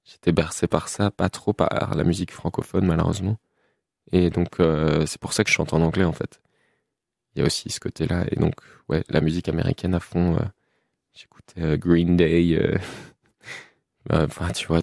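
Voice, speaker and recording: A man in his twenties from Belgium, a musician guest chatting casually in an interview, relaxed with frequent laughs and fillers. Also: deep voice, normal speed, creaky, dry recording, studio quality. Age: 20s.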